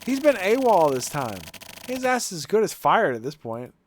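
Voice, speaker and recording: Animated, higher-voiced man reading game dialogue. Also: moderate pace, a little hiss or hum. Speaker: game streamer. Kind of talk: reading visual novel text aloud in character. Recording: music playing behind the voice.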